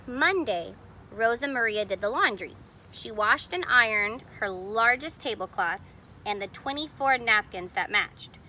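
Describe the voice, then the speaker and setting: A woman's voice: fairly high pitch, lively intonation, unhurried, sharp tone, slight background noise. A woman reading a picture book aloud expressively, explaining details to young listeners in casual asides. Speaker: storybook reader.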